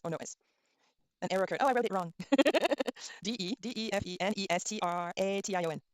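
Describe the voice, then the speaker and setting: A middle-aged woman whose first language is Norwegian teaches a relaxed online language class. She thinks aloud, spells words letter by letter and fills pauses with ums. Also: mid-range voice, no room sound, clean studio sound. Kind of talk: casual online lesson, thinking aloud while browsing.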